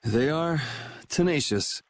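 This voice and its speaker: A man's voice: a little high, expressive, slow pace, slight background noise. An actor playing a laid-back young swordsman in a video game, speaking in a casual, dry, teasing way. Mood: wry. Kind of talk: video game cutscene acting.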